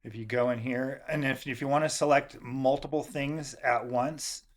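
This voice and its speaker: A man with a mid-range voice narrates a software tutorial. He is informal and casual, guiding the listener one step at a time as the host. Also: medium pitch, fairly even intonation, normal speed.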